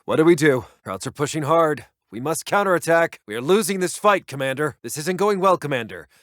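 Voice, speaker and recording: An actor playing a dutiful military intelligence officer in a war game, giving clipped, urgent battlefield reports. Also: male voice, on the high side, lively intonation, a little fast, fairly bright tone, dry recording, clean studio sound. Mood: tense, pressing. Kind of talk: military game announcer voice lines.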